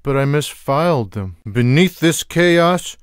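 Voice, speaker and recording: A man voicing a lazy, sarcastic, food-loving cartoon cat in a video game, with wry, deadpan, drawling one-liners. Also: fairly high pitch, slow pace, smooth, no room sound, clean studio sound. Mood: dry, sardonic.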